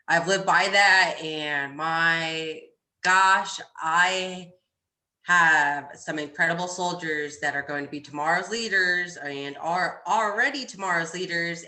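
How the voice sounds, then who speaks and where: A woman's voice: low voice, sharp tone, echoey room. A woman, an army staff sergeant and podcast guest, telling stories in casual, brash slang and acting out her retorts. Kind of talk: casual chat, storytelling.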